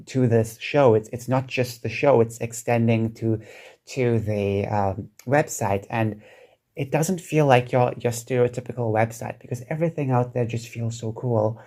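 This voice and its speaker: A man chatting casually in a solo vlog, hesitant with lots of restarts and fillers, his throat sounding croaky. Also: mid-range voice, warm tone. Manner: hesitant, many restarts and ums, enthusiastic. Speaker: vlogger.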